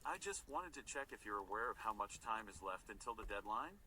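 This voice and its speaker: A man's voice: on the low side, sing-song, brisk, sharp tone, distant mic, slight background noise, exaggerated voice. A man playing a polite, formal publishing company manager in a scripted phone-call role play. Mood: polite, businesslike.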